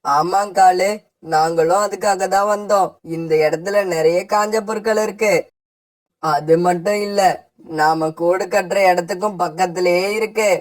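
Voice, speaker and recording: A man's voice: high-pitched, close to an everyday voice. A man voicing a friendly, agreeable male sparrow, polite and reasonable, in a children's cartoon fable. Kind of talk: cartoon voice acting.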